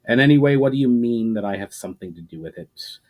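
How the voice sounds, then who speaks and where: A man voicing a powerful, coolly patient adversary with measured, condescending menace in a fantasy story reading. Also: medium pitch, expressive, darkish tone, natural voice. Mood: threatening. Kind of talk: dramatic fantasy audiobook reading.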